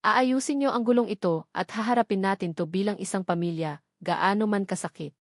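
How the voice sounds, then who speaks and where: A woman's voice: mid-range voice, brisk, no room sound, studio quality, lightly acted. A woman voicing a wounded, resolute grown son confronting his mother in a dramatic story, cold and accusing in an emphatic way. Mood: cold, final.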